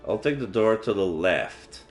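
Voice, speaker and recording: Lowish-voiced man streaming a visual novel. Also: expressive, unhurried. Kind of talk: reading game text aloud with casual asides. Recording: faint music behind the voice. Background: game music.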